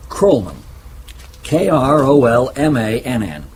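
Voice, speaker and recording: Assured intelligence agent, noisy recording. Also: male voice, mid-range voice, big pitch swings, takes it slowly. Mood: assured, businesslike. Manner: matter-of-fact, assured. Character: businesslike intelligence agent briefing on gadgets and targets. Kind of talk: radio drama acting.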